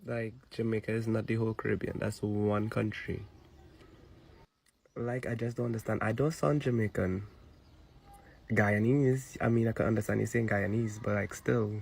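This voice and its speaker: Gravelly Trinidadian man, matter-of-fact. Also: lowish voice, steady tone, moderate pace, darkish tone. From Trinidad and Tobago. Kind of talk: casual rant video.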